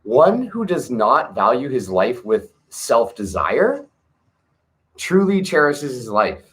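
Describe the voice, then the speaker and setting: A man reads an ancient text at an unhurried pace, letting each phrase settle before the next. His pitch rises and falls freely as he goes, and he slips easily from reading into relaxed asides. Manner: measured, pausing, adds casual commentary. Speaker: reader of a classic text. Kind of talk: slow reflective reading with asides.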